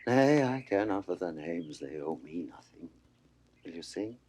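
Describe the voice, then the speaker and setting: An actor playing a melancholy, mocking philosophical lord in a 1960s classical Shakespeare audio drama, with a theatrical, wry drawl. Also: male voice, deep voice, animated, measured pace, a little mellow. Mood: wry, insistent.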